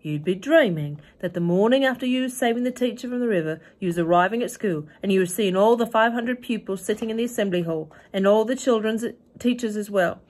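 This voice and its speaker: A woman's voice: a little fast, few pauses, a little mellow, natural voice. A woman voicing a big, friendly old male giant who mangles his grammar and invents words as he reads from a storybook. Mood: storytelling, excited. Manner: mangled grammar, odd made-up words, booming.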